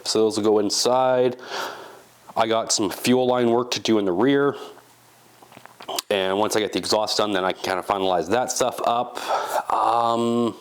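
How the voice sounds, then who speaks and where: A man's voice: on the low side, fairly even intonation, moderate pace, long pauses, smooth. A congested, sick man casually talking through a project update in his garage workshop, rambling with fillers as he thinks aloud. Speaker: channel host.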